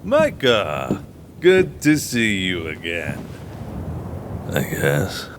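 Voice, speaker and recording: A man playing a gruff, awkward wasteland gunman in an audio drama. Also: low-pitched, huge pitch swings, extremely slow, slight background noise, heavily acted. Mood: wary.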